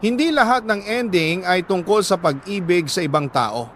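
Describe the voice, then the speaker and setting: Male, Filipino accent, high-pitched, earnest storytelling host, dramatic letter narration, deliberate pauses, light noise behind. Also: lively intonation, fairly bright tone. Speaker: radio storytelling host reading a listener's letter.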